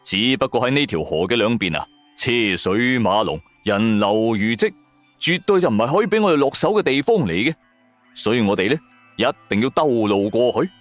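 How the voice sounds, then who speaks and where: A man's voice: mid-range voice, expressive, moderate pace, no room sound, soft background music. A man with a Cantonese accent, narrating a first-person story in a dramatic audio drama style.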